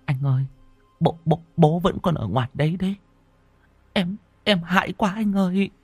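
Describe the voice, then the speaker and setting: A woman's voice: on the low side, normal speed, breathy edge, no room sound, soft background music, voice pushed up. Give me a terrified young mother with a trembling, pleading voice, as a man puts her on.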